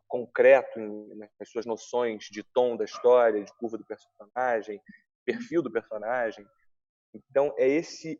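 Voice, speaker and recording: A man's voice: medium pitch, darkish tone. A man with a Brazilian accent explaining things as a writer on a panel, recorded in dull, lo-fi audio.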